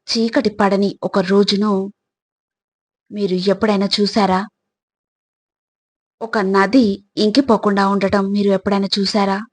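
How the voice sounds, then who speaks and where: A woman reading scripted motivational narration in a deliberate, instructive way, addressing the listener directly. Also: mid-range voice, darkish tone.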